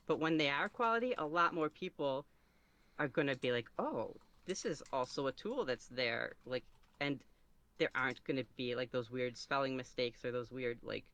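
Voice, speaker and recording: A man's voice: medium pitch, lively intonation, moderate pace, sharp tone. A man speaking casually as an interview guest, rambling and somewhat self-conscious as he goes. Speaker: podcast guest, ASMR roleplay creator.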